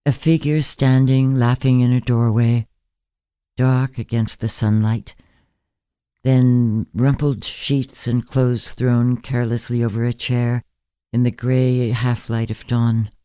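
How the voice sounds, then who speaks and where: A man narrating a literary short story in a measured, gentle, deliberate way. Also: mid-range voice, flat delivery, clear, resonant tone, dry recording. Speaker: narrator, fiction reader.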